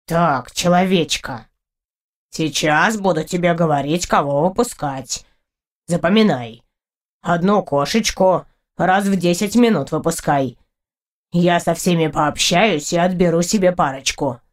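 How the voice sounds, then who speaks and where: A woman's voice: fairly low pitch, unhurried, voice pushed down, lightly acted. A woman voicing a boastful, grumpy talking male wild cat in an audiobook, with a cocky, grumbling delivery. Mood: boastful, commanding.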